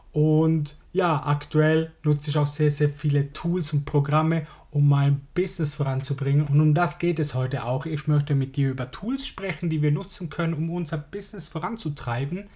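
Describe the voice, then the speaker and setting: A hoarse man with a Swiss German accent, hosting a solo podcast and chatting informally, straight to the listener. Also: fairly high pitch, darkish tone. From Switzerland. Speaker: podcast host. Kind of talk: casual solo podcast monologue.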